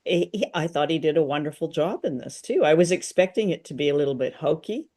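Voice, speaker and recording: A woman's voice: low voice, animated, quick pace, darkish tone. Older lady co-host on a video call, chatty and a bit playful. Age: older, 60s or 70s. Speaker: radio show co-host. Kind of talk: casual chat about books and drama.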